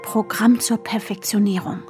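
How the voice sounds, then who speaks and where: A woman's voice: mid-range voice, fairly even intonation, moderate pace, music in the background, lightly acted. An actress playing an earnest, self-disciplining teenage girl with romantic ambitions, speaking as an inner voice in a literary radio play. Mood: resolute.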